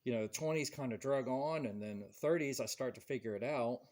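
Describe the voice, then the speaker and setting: A man in his forties hosts a relaxed podcast chat. His voice sits at a middle pitch, and he rambles through stories, acting out what other people said. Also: a little fast. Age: 40s. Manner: rambling, storytelling with quoted voices. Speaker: podcast host. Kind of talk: casual chat.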